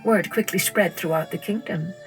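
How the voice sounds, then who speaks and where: A woman storyteller reading a scripted children's tale in a deliberate, expressive manner. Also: lowish voice, faint music behind. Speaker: storyteller, podcast host.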